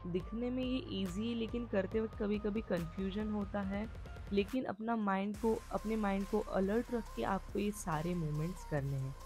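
A woman's voice: medium pitch, normal speed, a little mellow. Woman fitness vlogger, instructional voice-over, mid-range voice, light music bed. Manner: explains steps and reminders directly to viewers.

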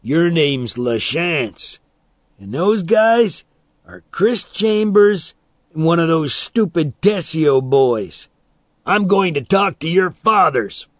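A man voicing a big, angry bully in a story, shouting, taunting and threatening in a raised voice. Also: medium pitch, slow pace, no echo, studio quality, natural voice.

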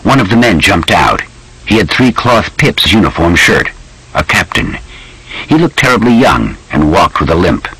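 A man narrating a historical novel audiobook in an expressive, animated storytelling manner. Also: deep voice, moderate pace, breathy edge, rough recording.

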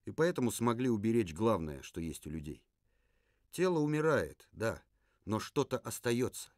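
An earnest puppet survivor from a ruined future , voiced by a man, lecturing with lively rises and falls in his voice. The sound is dry, with almost no room around it. He hesitates now and then in the middle of a thought. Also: on the low side, moderate pace, dry recording. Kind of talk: puppet theatre performance.

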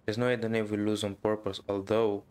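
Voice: mid-range voice, level tone, fairly quick, a little mellow, clean tone. A gaming streamer giving casual live commentary, reacting aloud and talking to themselves while playing. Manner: reacts aloud, mutters to self. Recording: game music under the voice. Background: game music and combat audio.

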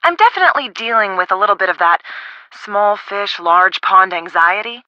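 Bright, chatty young game sidekick. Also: female voice, medium pitch, moderate pace, dry recording, lo-fi sound. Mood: chatty, casual. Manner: casual, expressive, friendly. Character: upbeat, chatty, helpful young woman, the detective's friend. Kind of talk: video game voice acting.

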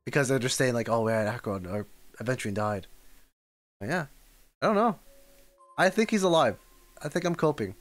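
A man casually rambling on a livestream as he reacts to a game, with soft music behind him. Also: mid-range voice, normal speed, long pauses. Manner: rambling, animated, reads chat aloud.

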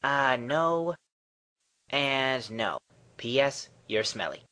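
A carefree boy hero from a cartoon parody, acted out by a man. His voice is bright and forward, and he talks in a flippant, boastful way, as if nothing could bother him. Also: medium pitch, expressive, extremely slow, full character voice. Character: cocky, carefree twelve-year-old boy hero. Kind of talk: comedic cartoon parody dub.

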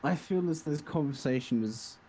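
A man's voice: fairly high pitch, expressive, normal speed, a crowd in the background. A young man co-hosting a casual podcast about games, with a dry, mock-indignant manner. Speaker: podcast co-host.

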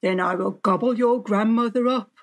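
A woman's voice: on the high side, moderate pace, close to an everyday voice. Storybook villain: hungry, sly he-wolf, menacing and savouring each word, performed by a female reader. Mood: hungry, greedy. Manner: sneaky, menacing, relishing his words. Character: sly, greedy, hungry male big bad wolf. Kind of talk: children's storybook character voice.

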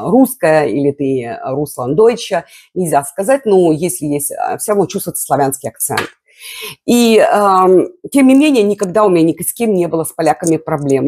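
Husky older woman chatting to viewers. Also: low-pitched, darkish tone. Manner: chatty, digressive, many fillers. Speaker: vlogger. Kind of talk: casual chat to viewers. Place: living room at home, indoors. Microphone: lapel mic.

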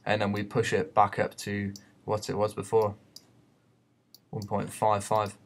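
A man giving an unscripted software tutorial in a hesitant, explanatory way. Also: lowish voice, completely flat delivery, a little slow, gravelly. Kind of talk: unscripted screen-recorded tutorial. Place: voice-over for a screen recording.

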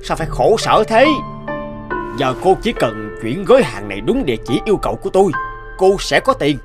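Sly, animated smuggler. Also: male voice, on the high side, brisk, music in the background. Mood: coaxing. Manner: sly, persuasive. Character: scheming burly smuggler, coaxing and cynical. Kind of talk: dubbed cartoon acting.

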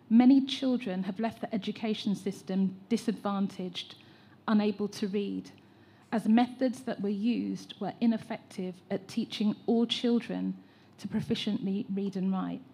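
English-accented woman, mellow husky voice. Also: medium pitch. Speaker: educator and teacher trainer. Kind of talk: prepared statement at a public meeting. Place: large hall at a public meeting.

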